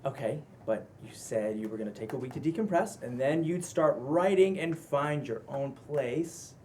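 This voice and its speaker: A man acts out a playfully sassy male roommate in a comedy-drama table read. He teases but is supportive, with a very animated, conversational delivery. There is faint noise in the room. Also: medium pitch, moderate pace, close to an everyday voice. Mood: gently frank. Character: Max, exasperated, teasing, supportive male roommate and friend. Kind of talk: casual cold read of comedy-drama script.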